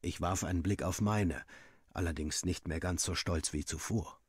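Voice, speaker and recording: A man reading a first-person story aloud in an expressive, scripted storytelling style, with a husky voice. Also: low-pitched, moderate pace, no room sound, clean studio sound.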